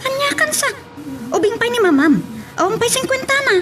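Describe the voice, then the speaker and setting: High, lively, teasing best friend. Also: female voice, fairly quick, music in the background. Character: nosy, teasing young female best friend. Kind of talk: radio romance drama acting. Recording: noisy radio drama track.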